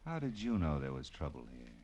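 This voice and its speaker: Deep-voiced hard-boiled radio detective. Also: male voice, wildly swooping pitch, fairly quick, darkish tone, full character voice. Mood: blunt, wry. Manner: clipped, sardonic, slangy wisecracking. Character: tough, wry private detective, hard-boiled narrator. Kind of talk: 1940s radio detective drama acting and narration. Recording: vintage radio broadcast with faint music.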